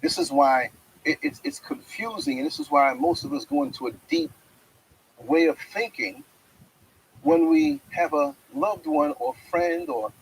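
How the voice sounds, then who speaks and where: A middle-aged man hosting a reflective spiritual monologue, speaking outdoors in a yard with wide, preacherly swings in pitch. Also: very animated, a little slow, creaky, slight background noise, rough recording. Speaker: host.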